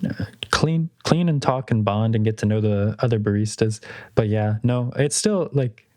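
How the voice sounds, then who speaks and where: A young man co-hosting a podcast in casual chat, explaining things in a steady, measured way. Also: lowish voice, no echo, clean studio sound. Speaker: podcast co-host.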